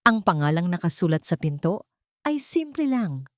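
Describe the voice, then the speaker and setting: Woman narrating drama with lively, swooping pitch. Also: moderate pace, no echo, studio quality. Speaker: story narrator. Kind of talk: scripted dramatic narration of a romance drama story.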